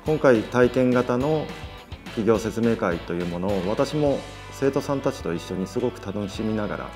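A man's voice: lowish voice, lively intonation, normal speed, darkish tone, soft background music. A man speaking politely in an interview, explaining his work as a car dealership employee.

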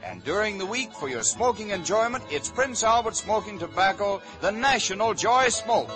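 A man's voice: high voice, big pitch swings, moderate pace, over loud music. An American man announcing in polished, promotional 1940s radio advertising style. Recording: vintage 1940 radio broadcast.

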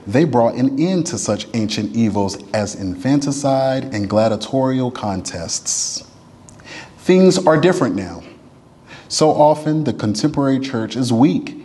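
A man's voice: mid-range voice, dark tone. Solemn man reading aloud in a reverberant church. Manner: deliberate, emphatic phrasing with slowed, falling phrase endings. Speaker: reader at a church service. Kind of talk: solemn oratorical reading of a written letter. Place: church sanctuary. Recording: long reverb of a large hall.

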